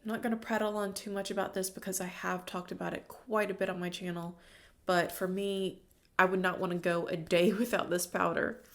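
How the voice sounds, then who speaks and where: A woman's voice: lowish voice, little pitch movement, brisk, a bit bright. A woman beauty vlogger, congested from a cold, giving chatty, opinionated product reviews in casual slang.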